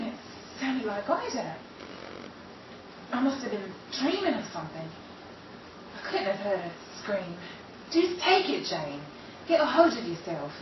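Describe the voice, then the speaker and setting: Woman performer as scared young woman in horror audio play, highish voice, anxious self-talk, noisy room. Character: frightened young woman alone in the dark. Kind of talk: audio horror drama acting. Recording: echoey, distant, muffled, noisy room recording.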